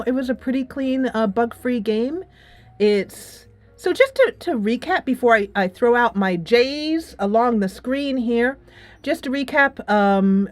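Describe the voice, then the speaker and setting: A woman's voice: on the high side, dry recording. A woman giving a casual, enthusiastic game review in a conversational, rambling way. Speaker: video game reviewer, channel host. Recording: music playing behind the voice. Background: game soundtrack music playing in background.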